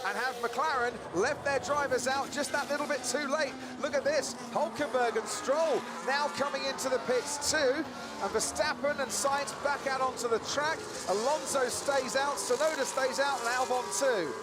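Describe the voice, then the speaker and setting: High-pitched male race commentator, loud trackside noise. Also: normal speed. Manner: urgent, dramatic rhetorical questions. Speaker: lead motor race commentator. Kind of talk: live sports commentary. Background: race cars and outdoor circuit ambience.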